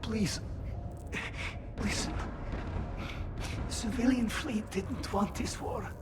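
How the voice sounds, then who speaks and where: An actor playing a badly wounded alien civilian in a video game, weak, halting and pleading, his breath faltering. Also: sits high, heavy background noise. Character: dying male alien civilian engine cleaner, frightened, earnest. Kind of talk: video game cutscene acting.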